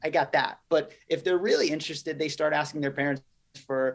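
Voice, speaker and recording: A man's voice: fairly high pitch, fairly even intonation, quick pace. Articulate man giving interview answers. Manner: articulate, explanatory, business-like phrasing. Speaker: podcast company chief executive, interview guest.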